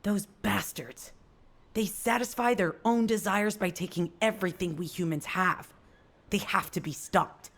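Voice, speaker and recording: A woman's voice: mid-range voice, a little slow, creaky. An actress playing a tough, hot-tempered female fighter in a video game, with a blunt, intense, emphatic delivery. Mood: bitter, disgusted. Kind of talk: scripted video game dialogue.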